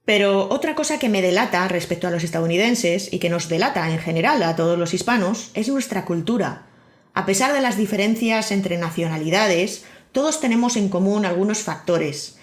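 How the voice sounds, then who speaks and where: A woman with an accent from Spain, hosting a podcast and reading a scripted monologue with wry, personal storytelling. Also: lowish voice. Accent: Spain Spanish. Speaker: podcast host. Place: living in Miami.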